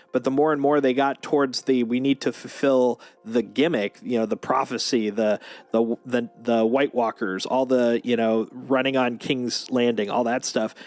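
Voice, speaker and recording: A man's voice: medium pitch, a little mellow. A man hosting a livestream, thinking aloud in a casual, opinionated, rambling discussion. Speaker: livestream host.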